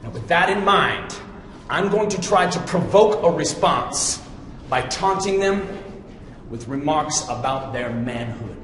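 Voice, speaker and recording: A man's voice: on the high side, lively intonation, a little slow, fairly bright tone. A man playing a brash, theatrical young TV ghost hunter with dramatic, slangy bravado in a video game cutscene. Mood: hushed intensity. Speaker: paranormal investigator. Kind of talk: live-action video game cutscene acting. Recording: roomy echoing hall, faint noise.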